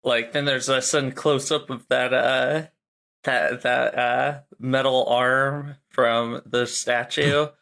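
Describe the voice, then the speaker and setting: A man with a bright, forward voice improvises scenes in a tabletop game. He talks slowly and haltingly, loose and joking. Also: mid-range voice, steady tone, gravelly. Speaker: tabletop roleplay player. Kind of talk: casual improvised game narration.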